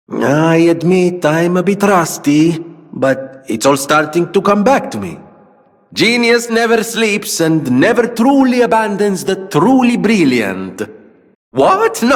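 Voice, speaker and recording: An actor playing a flamboyant, vain but kind-hearted inventor in a video game, with a theatrical, grandiose way of talking. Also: male voice, on the high side, long reverb tail, full character voice. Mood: confident, then playful, eager. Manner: theatrical, grandiose, florid phrasing. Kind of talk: video game character acting.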